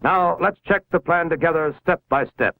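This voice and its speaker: An American man with a slightly high voice reads a scripted civil defense narration in the style of a 1950s educational film. His delivery is formal and instructional, giving directions with authority. The sound is a vintage film soundtrack, recorded very dry. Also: normal speed, dry recording, phone-quality sound. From United States.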